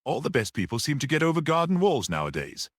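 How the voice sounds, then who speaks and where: A man voicing a mild, quietly grave little priest-detective in an audiobook, gentle and matter-of-fact. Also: fairly high pitch, big pitch swings, fast talker, no room sound, clean studio sound, voice pushed up. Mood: mildly whimsical.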